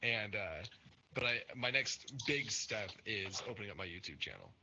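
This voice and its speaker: A man's voice: lowish voice, lively intonation, normal speed, background noise. Earnest rambling man on a lo-fi mic. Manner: earnest, rambling, many fillers and restarts. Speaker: podcast host and Twitch streamer. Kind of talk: casual podcast chat.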